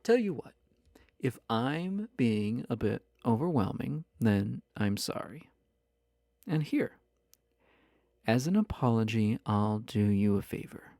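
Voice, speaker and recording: An actor voicing a flirty, possessive husband in an intimate roleplay audio, teasing the listener with coy, mock-innocent pick-up talk. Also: male voice, medium pitch, big pitch swings, takes it slowly, long pauses, dark tone, no room sound, clean studio sound. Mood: sly, mock innocent.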